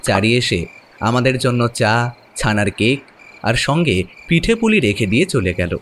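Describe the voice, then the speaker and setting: Actor as a young frame narrator: even, measured storytelling, mid voice. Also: male voice, mid-range voice, a little hiss or hum. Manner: plain, measured storybook telling.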